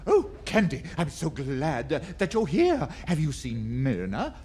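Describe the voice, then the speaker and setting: A man playing an excitable, kindly department store Jack Frost in a radio drama, theatrical and fussy. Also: a little high, unhurried. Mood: nervous, dramatic. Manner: theatrical, fussy, stammering when nervous.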